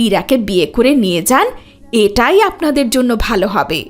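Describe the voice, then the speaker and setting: A woman's voice: fairly high pitch, big pitch swings, a little fast. A woman playing a cruel, scheming stepmother in a cartoon drama, scornful and wheedling. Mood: dismissive. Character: cruel, scheming stepmother pushing her own daughter.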